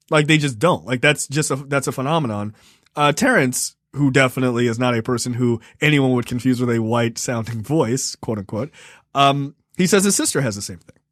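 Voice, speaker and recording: A man's voice: medium pitch, fairly bright tone, no room sound, studio quality. A man from Baltimore co-hosting a casual podcast chat, talking in an emphatic, chatty way and laughing easily. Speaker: podcast co-host.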